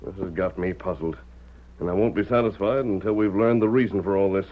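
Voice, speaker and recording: An actor playing an earnest, commanding masked cowboy hero in an old-time radio western, reasoning aloud in crisp, formal sentences. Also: male voice, deep voice, fairly quick, lightly acted. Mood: earnest, pondering. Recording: tinny, narrow-band vintage radio recording.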